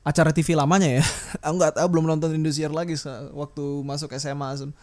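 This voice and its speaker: A man with a fairly high voice and an Indonesian accent hosts a solo podcast. He rants casually and off the cuff, wandering along in blunt slang with the odd mild swear word. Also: little pitch movement, quick pace, a little mellow. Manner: blunt, rambling, slangy, mildly profane. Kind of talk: unscripted casual ranting monologue.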